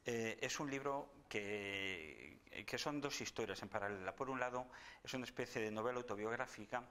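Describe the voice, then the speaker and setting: A man's voice: medium pitch, moderate pace, sharp tone, clear, resonant tone. A middle-aged man from Spain, a bookseller recommending novels in casual chat, often repeating words as he thinks. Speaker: bookseller guest.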